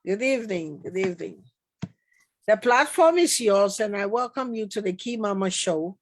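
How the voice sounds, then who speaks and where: A woman's voice: medium pitch, expressive, normal speed. A woman hosting an online talk show, chatty and welcoming, with many fillers and restarts. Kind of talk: casual interview hosting.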